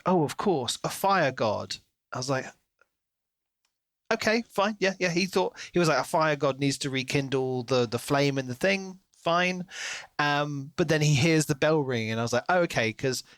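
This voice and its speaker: A man co-hosting a podcast, talking through a book in a casual, animated retelling style. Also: on the high side, normal speed, dry recording. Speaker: podcast co-host.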